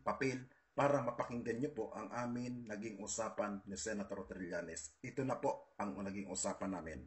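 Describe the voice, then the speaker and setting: Combative Filipino man talking to followers. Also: fairly low pitch. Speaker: political video host. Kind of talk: informal monologue addressed to online followers.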